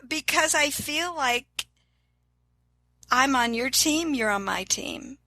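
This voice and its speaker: Bright-voiced upbeat older woman. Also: high-pitched, animated, slow pace, no echo. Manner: upbeat, enthusiastic, motivational. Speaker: guest. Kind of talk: casual interview talk.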